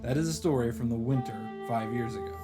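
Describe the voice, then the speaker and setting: Male let's play host. Medium pitch, expressive story reading with casual asides, clear music underneath. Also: fast talker. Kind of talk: dramatic read-aloud narration with asides.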